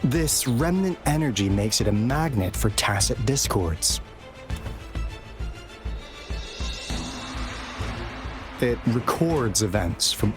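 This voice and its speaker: A man voices a knowledgeable, engaging male companion in a video game. His mid-range voice moves with very lively intonation as he explains things articulately. Prominent music plays behind him. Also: medium pitch, lightly acted. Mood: informative. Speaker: game character explaining lore. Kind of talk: English game dub dialogue, exposition. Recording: studio voice under loud music. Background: game soundtrack music.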